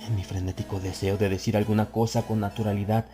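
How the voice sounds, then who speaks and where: A man reading a horror story as an audiobook narrator, theatrical, building tension with swells in pitch and loudness. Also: little pitch movement, quick pace, over loud music. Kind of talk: dramatic scripted narration.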